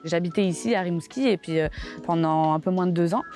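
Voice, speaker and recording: A woman's voice: fairly low pitch, fast talker, mellow, soft background music. A young woman from France with a French accent, telling her story in a casual interview with many fillers and small laughs. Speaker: interviewee, graphic designer.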